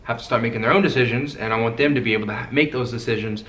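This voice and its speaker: Easygoing young guy co-host, mid-pitched, roomy echo. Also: rapid-fire, a bit bright. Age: young adult. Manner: laid-back, easygoing, fillers like "you know". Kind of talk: casual couple Q&A chat. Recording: echoey room.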